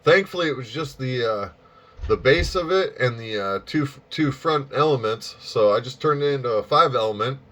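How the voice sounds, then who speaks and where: A man making casual amateur radio small talk in a halting, folksy way, with a dull, muffled sound. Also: medium pitch, lively intonation, fairly bright tone. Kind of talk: casual radio contact chat.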